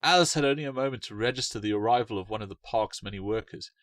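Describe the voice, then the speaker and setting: A man's voice: lowish voice, quick pace, fairly bright tone, dry recording. Male storyteller with a close, dry sound. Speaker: author narrating his short story. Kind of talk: dramatic scripted story narration.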